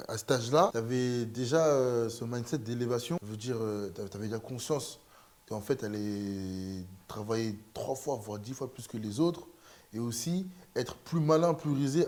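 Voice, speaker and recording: A man interviewing a guest in a casual, lively way, pushing his points with rising emphasis and a few stumbles. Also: medium pitch.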